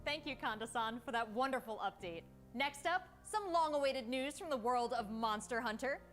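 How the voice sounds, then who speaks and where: Upbeat woman presenter reading a polished script, high and animated. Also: moderate pace, faint music behind. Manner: upbeat, polished announcer delivery. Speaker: showcase host.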